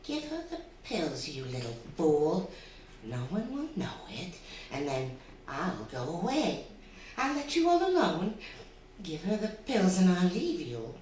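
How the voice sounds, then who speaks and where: In a cavernous, distant-sounding hall, a bloodthirsty female spirit from a radio play. Her voice is low and slow, menacing and taunting as she coaxes. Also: wildly swooping pitch, a little mellow. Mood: taunting, urging. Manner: menacing, coaxing, cackling, drawn-out theatrical delivery. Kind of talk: stage radio drama acting. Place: theatre stage before a live audience. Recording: very echoey hall, distant, faint noise.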